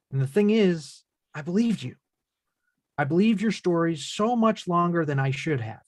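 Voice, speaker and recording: A man's voice: fairly high pitch, no echo, studio quality. A man playing a frustrated, earnest adult son in a naturalistic dramatic table read. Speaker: actor in a screenplay table read.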